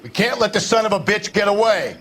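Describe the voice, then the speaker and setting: A man's voice: sits high, big pitch swings, brisk, fairly bright tone, a little hiss or hum. A man playing a brash, cynical, foul-mouthed police detective in a 1940s crime video game, talking in blunt slang. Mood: aggressive.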